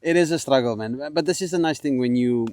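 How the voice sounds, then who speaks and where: Male co-host, mellow and warm, rapid delivery, informal group conversation in the open air. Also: medium pitch. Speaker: podcast co-host. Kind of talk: casual group chat. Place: outdoors in the desert by a campfire at dusk.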